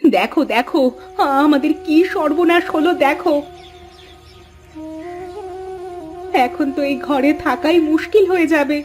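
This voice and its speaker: A woman playing a worried, kind wife from a poor village household in a cartoon moral story, speaking gently and coaxingly. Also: sits high, moderate pace, natural voice. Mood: distressed. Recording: music behind the voice.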